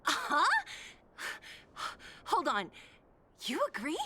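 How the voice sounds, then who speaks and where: A young woman playing a wry, no-nonsense fighter in a video game, with a dry, measured delivery. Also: sits high, big pitch swings, sharp tone, full character voice. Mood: exasperated. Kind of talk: scripted video game dialogue.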